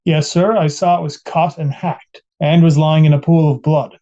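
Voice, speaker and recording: Man playing mellow, plainspoken police witness. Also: a little high, fairly even intonation, normal speed, dull audio, close to an everyday voice. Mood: matter-of-fact. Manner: plain, matter-of-fact, deferential answers. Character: plainspoken, deferential male 1890s police captain on witness stand. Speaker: voice actor reading a trial transcript. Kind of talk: dramatized courtroom testimony reading.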